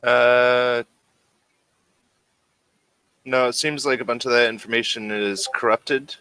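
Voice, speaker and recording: A man running a tabletop game as game master, answering players haltingly as he thinks aloud. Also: mid-range voice, steady tone, pushed and bright, clean tone, dry recording, low-quality recording.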